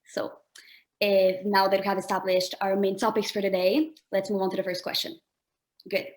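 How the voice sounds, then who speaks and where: A woman's voice: medium pitch, little pitch movement, moderate pace. Young woman student reading interview questions. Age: young adult. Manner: polite, formal, reading prepared remarks. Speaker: first-year college student, interviewer.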